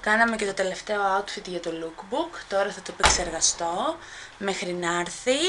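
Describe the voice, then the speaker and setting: A young woman, chatting to her handheld camera as she walks through her family home, excitable and full of quick asides to viewers. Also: mid-range voice, very noisy. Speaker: vlogger. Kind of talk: casual vlog chat to camera. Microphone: handheld camera at arm's length.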